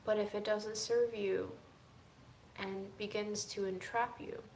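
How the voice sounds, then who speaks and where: Slow, musing woman vlogger. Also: mid-range voice, level tone, vocal fry, echoey room, a little hiss or hum. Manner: slow, musing, drifting between soft and emphatic. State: fatigued. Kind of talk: casual reflective chat to camera.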